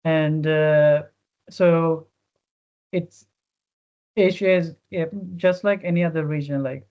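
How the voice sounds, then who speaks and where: A woman's voice: deep voice, fairly even intonation, slow, lo-fi sound. A woman from Sri Lanka speaking as a panelist in a discussion, thoughtful and often repeating words as she searches for them.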